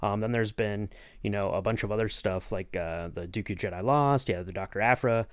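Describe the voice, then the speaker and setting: A man's voice: fairly low pitch, fairly quick, no room sound. A man co-hosting a podcast as interviewer, talking casually in a rambling, enthusiastic way with many you-knows. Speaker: podcast co-host acting as interviewer.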